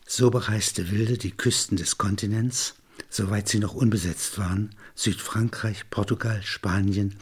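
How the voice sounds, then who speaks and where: A husky male narrator reads a literary story from a script. His voice sits fairly low, and he phrases in a measured, deliberate way. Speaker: narrator reading literary prose.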